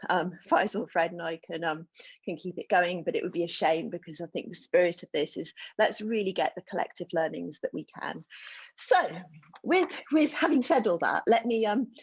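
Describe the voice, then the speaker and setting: A woman's voice: on the low side, breathy edge, no echo. A woman hosting and moderating an online panel discussion, introducing the speakers in a chatty way with many fillers, heard over a video call. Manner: warm, chatty, with frequent um fillers. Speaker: host and moderator. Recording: dull lo-fi video call audio.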